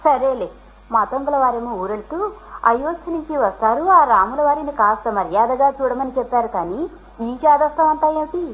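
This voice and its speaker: Concerned hermitage companion: higher female voice, husky, gently chiding, radio-play style. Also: expressive, fairly quick, low-quality recording. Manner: questioning, gently scolding. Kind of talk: Indian radio drama acting.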